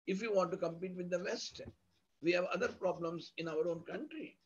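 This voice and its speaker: High-pitched, husky Indian man lecturing. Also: normal speed. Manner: didactic, emphatic, repeats with synonyms and lists. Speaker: university lecturer. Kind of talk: academic lecture, explanatory with examples. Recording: dull lo-fi audio.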